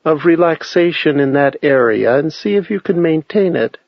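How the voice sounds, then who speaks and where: A man leading a guided meditation with calm, measured instruction and long pauses between phrases. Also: a little high, creaky, no echo, muffled recording.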